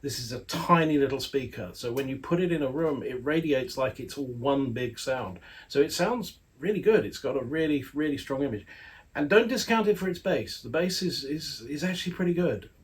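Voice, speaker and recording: A man's voice: medium pitch, expressive, moderate pace. A man, an audio magazine editor, giving a casual spoken product review in a chatty, rambling way with playful asides.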